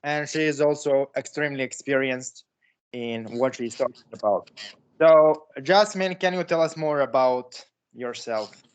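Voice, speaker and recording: A man from Bosnia hosting an interview, introducing his guest and asking slow, deliberate questions. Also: mid-range voice, clear, resonant tone, low-quality recording. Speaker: host.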